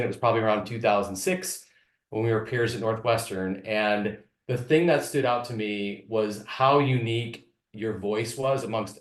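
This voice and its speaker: Man interviewing, in an echoey room. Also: medium pitch. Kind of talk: literary author interview.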